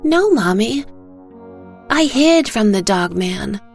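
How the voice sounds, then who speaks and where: A woman's voice: medium pitch, big pitch swings, slow, vocal fry, soft background music, pitched above the performer's own voice, exaggerated voice. A woman voicing a small, brave girl talking to her mother in an audio story. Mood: soft, unafraid.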